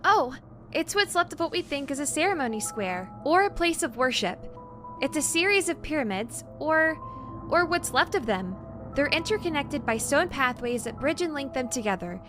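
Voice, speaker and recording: A woman's voice: high voice, expressive, normal speed, music in the background. A woman playing a nervous, bookish, overworked young researcher in an audio drama, stammering and using wordy, formal vocabulary. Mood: earnest, explanatory.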